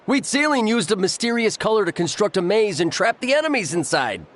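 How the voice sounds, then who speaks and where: A stubborn, insistent young tribesman in a game who dismisses doubt out of hand. His voice runs high and swings widely. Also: very animated, fairly quick, fairly bright tone. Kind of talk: video game voice acting.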